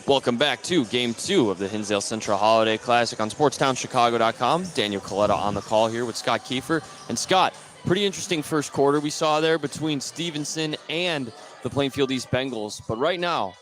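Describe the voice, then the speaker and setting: A man's voice: mid-range voice, a little fast, a bit bright. An American man doing live play-by-play basketball commentary for radio. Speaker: play-by-play announcer. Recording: noisy gym broadcast. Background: bouncing basketballs and gym noise.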